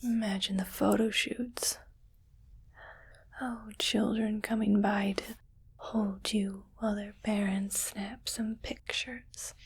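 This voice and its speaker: A woman voicing a greedy, rich female alien collector in an ASMR roleplay, whispering softly and deliberately with a coolly appraising, possessive manner. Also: medium pitch, little pitch movement, heavily acted. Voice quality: soft, breathy whisper. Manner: slow, deliberate, pausing between short phrases, coolly appraising.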